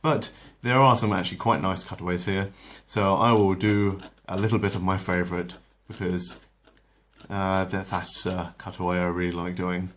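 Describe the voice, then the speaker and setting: Deep-voiced man reading a story. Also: level tone, fairly bright tone, slight background noise. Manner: hesitant, with many pauses when off-script. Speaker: storyteller, channel host. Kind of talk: reading a retold episode aloud, then casual chat.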